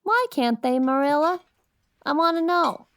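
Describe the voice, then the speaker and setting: High-voiced, cheeky little boy. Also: measured pace, fairly bright tone. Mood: earnest, curious. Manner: blunt, earnest childlike talk. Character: young boy, cheeky, inquisitive, folksy. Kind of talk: scripted audiobook drama acting.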